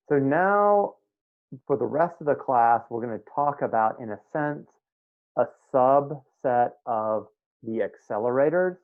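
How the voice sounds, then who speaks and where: A man teaching a meditation class in a casual, conversational way, often checking that his students follow. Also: mid-range voice. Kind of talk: live online class teaching. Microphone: video call. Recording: tinny phone-like line.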